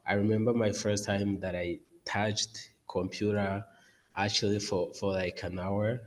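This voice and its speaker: A man's voice: lowish voice, level tone, measured pace. A man in his twenties from Rwanda, a podcast guest talking earnestly from his office over a video call, with many fillers and restarts. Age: 20s. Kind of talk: podcast interview.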